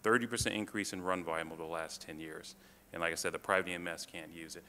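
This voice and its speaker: Low flat gravelly guy giving a fire dept report at a council meeting, lots of ums, echoey chamber. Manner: halting, many fillers and restarts. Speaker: fire department official presenting to council. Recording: reverberant chamber, faint room noise.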